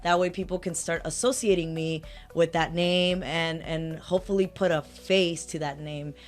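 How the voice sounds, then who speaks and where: A woman in her thirties, a lawyer from Los Angeles whose first language is Spanish, talks through her work with plenty of "uh" and "um". Her voice sits a little low. Faint music plays underneath. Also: fairly even intonation, moderate pace. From Los Angeles, California. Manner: chatty, frequent "uh" and "um" fillers, self-correcting. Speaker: personal injury attorney, guest. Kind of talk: casual interview answers.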